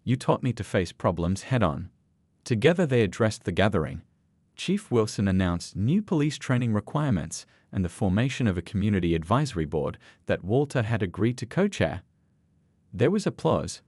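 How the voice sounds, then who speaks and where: A man reading a scripted, sentimental story in a measured, earnest storytelling manner. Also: fairly low pitch, sing-song, a little mellow, dry recording. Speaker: story narrator.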